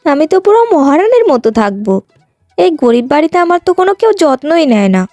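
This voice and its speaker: Woman acting a high-voiced, vain young village beauty. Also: very animated, fast talker, mellow, no echo, faint music behind. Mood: dreamy, smug. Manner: boastful, petulant, complaining. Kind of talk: cartoon moral-tale voice acting.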